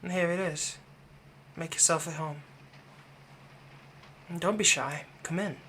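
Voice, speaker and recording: A man playing a possessive young fantasy villain in an ASMR roleplay, tenderly soothing a captive in a soft voice that often turns breathy. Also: on the high side, steady tone, a little slow, a little hiss or hum. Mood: gentle, reassuring. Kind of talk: ASMR roleplay, intimate scripted monologue.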